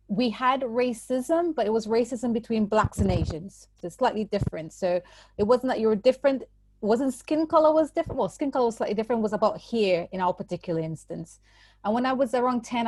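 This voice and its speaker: A woman's voice: medium pitch, a little mellow, no room sound. A woman from Trinidad and Tobago speaks in a mid-range voice. She explains things carefully and keeps qualifying her points. The sound is dry and close, with little room around her. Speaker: guest. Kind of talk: personal account in conversation. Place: church recording.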